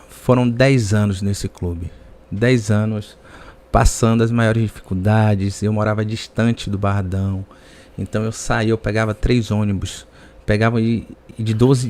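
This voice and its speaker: A man's voice: medium pitch, darkish tone. A man from the Salvador area with a Bahian Brazilian accent, speaking as a podcast guest in conversational personal storytelling.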